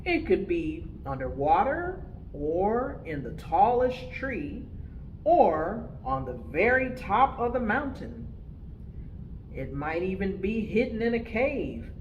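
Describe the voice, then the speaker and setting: A woman's voice: on the low side, highs cut off, a little hiss or hum. A woman voicing a big old male sailor bear with a folksy, salty drawl for a picture-book storytime.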